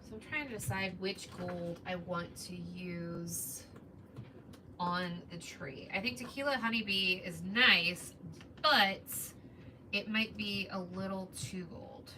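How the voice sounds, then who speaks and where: A woman in her thirties with a soft, relaxing Midwestern voice, chatting casually with viewers during a crafting livestream. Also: on the low side, takes it slowly. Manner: chatty, greets viewers while working. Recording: distant mic with background noise.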